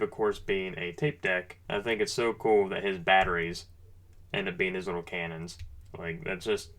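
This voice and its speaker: A man's voice: sits low, fairly bright tone. A man giving a casual, unscripted product review as a presenter, talking in an informal, enthusiastic, conversational way.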